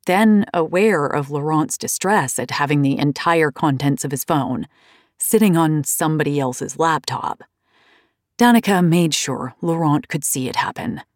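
A woman reading memoir narration in a measured, deliberate way. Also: low voice, lively intonation, no room sound, clean studio sound.

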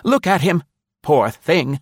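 A man's voice: a little high, very animated, slow, breathy edge, dry recording, studio quality, voice pushed up, exaggerated voice. A man voicing a bossy, defiant older woman who speaks majestically and firmly, in a storybook reading. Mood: indignant. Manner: majestic, firm, indignant.

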